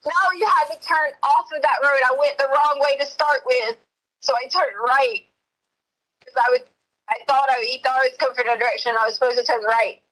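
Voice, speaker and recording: High, bright-voiced woman on a phone call. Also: fairly even intonation, a little fast. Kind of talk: emotional personal account over the phone.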